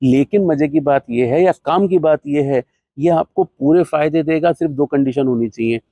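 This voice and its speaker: A man presenting an ayurvedic herbal remedy, explaining benefits and uses step by step in a steady, instructive way, with English terms mixed in. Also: a little high, normal speed, dry recording. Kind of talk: explanatory health talk to camera.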